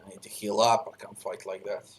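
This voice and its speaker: A man's voice: fairly low pitch, level tone, fairly quick. A man giving casual commentary while playing a video game, musing aloud to himself. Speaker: gamer. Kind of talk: let's play commentary.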